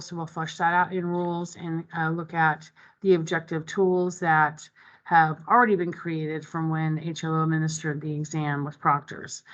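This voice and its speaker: A woman's voice: on the low side, a bit bright, dull audio. A woman running an online committee meeting, talking through the agenda in a conversational way with many ums and restarts. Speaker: meeting facilitator. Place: online video call. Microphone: video call microphone.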